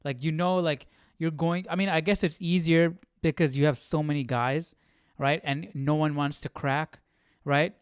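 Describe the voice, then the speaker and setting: A man hosts a study livestream in an informal, slangy way, moving between chat and reading notes. His voice is fairly high for a man and very clear, captured close and dry. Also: fairly even intonation, normal speed, no room sound. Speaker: host of a Bible study livestream.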